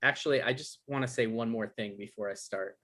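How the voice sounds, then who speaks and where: A man playing an anxious, earnest novelist, halting. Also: medium pitch, pushed and bright. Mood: nervous, earnest. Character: anxious, defensive male novelist caught in romantic trouble. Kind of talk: video-call table read acting.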